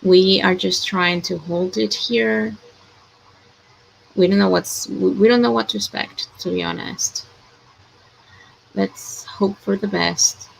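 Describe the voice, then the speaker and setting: A woman's voice: medium pitch, steady tone, takes it slowly, vocal fry, long pauses, slight background noise, low-quality recording. A woman from Ecuador, hosting a casual live chat in a worried, hesitant way, sighing often.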